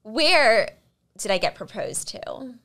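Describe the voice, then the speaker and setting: A woman's voice: fairly high pitch, slow. A young woman hosting a casual chat, quizzing her guests and laughing often. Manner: giggly, lively, laughs often. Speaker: podcast host. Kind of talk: casual chat, running a quiz.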